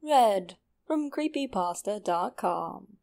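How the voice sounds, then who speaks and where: Slow, creaky-voiced woman narrating horror. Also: mid-range voice, animated, a little mellow. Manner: sinister, deliberate.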